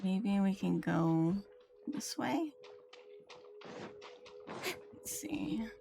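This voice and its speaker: A woman's voice: mid-range voice, expressive, drawn-out words, creaky, noisy background. A woman game streamer chatting casually and reading aloud as she plays, muttering to herself now and then. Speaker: livestreamer. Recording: game music behind voice. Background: video game music.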